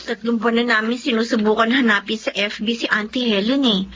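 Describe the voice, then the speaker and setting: Actress as young sister, high-pitched, teasing, emotional, family drama, dull slightly noisy audio. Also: female voice, fairly even intonation, a little fast, fairly bright tone, lightly acted. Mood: anxious. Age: young adult. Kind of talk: dramatic dialogue acting. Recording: dull lo-fi audio, faint noise.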